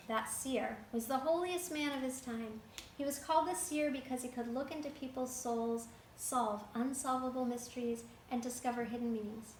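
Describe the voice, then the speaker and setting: High-voiced woman reading a folktale aloud. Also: roomy sound, a little hiss or hum, poor audio. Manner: animated read-aloud, breaking off to ask the listener questions. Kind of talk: reading a picture book to a child.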